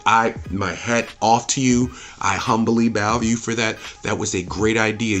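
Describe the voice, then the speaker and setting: A man's voice: fairly low pitch, fairly bright tone. A man giving an opinionated, off-the-cuff review as a host, speaking emphatically, repeating himself and swearing freely. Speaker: movie reviewer and channel host.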